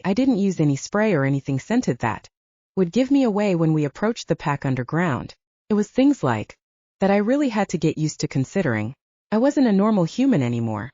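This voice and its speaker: A woman's voice: on the low side, warm tone, dry recording, muffled recording. A woman narrating scripted first-person fiction as an audiobook narrator.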